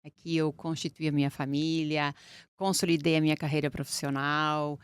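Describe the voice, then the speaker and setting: A middle-aged woman from Portugal, a lawyer speaking as an interview guest in an earnest, deliberate way. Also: low-pitched, little pitch movement, clear, resonant tone, no echo, studio quality. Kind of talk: interview conversation.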